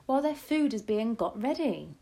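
A woman's voice: a little high, sing-song, normal speed, creaky, mellow, voice pushed up. A woman voicing a clever young boy who has been turned into a mouse, earnest and eager.

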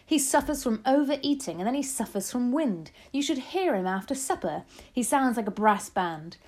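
A woman voicing an angry, blustering father who talks in exasperated shouts in a storybook reading. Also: a little high, animated, moderate pace, voice pushed up. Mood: scornful.

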